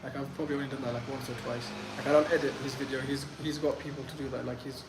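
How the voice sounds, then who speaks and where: A man's voice: mid-range voice, level tone, rapid-fire. Chatty young man on an echoey phone stream. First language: Pashto. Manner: loose and chatty, lots of "like" and restarts. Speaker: livestream host answering chat questions. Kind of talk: casual live Q&A. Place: in Medellín, Colombia. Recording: echoey room with clear background noise.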